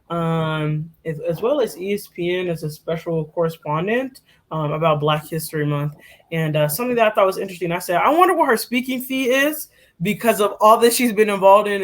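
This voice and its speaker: A young woman co-hosting a podcast, giving a casual, chatty rundown full of fillers and restarts. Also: lowish voice. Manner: chatty, filler-heavy, self-correcting. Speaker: podcast co-host. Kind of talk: casual presentation.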